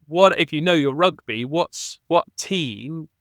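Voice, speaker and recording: A man in a relaxed podcast interview, the ex-rugby player guest thinking aloud and circling back on his points. His voice is smooth and clear, recorded close on a dead, dry mic. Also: a little high, slow pace, no echo. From England. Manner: thinks aloud with restarts, animated on key points. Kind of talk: reflective conversational interview.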